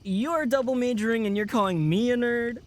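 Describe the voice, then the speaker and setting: A man's voice: extremely high pitch, brisk. An actor playing a friendly, eager 21-year-old male camp counselor in an audio drama, good-natured and casual. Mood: teasing.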